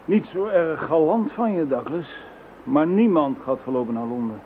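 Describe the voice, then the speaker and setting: A man's voice: a little high, animated, moderate pace, narrow, tinny audio, slight background noise. An actor playing a wry male chief inspector in a radio drama, with a dry teasing manner. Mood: calm.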